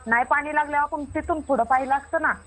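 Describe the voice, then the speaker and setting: A woman's voice: high voice, steady tone, quick pace, natural voice. A woman playing a stubborn, self-assured water diviner with a rural Maharashtrian Marathi accent, arguing insistently and repeating herself in a comic phone-call skit. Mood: defensive, indignant.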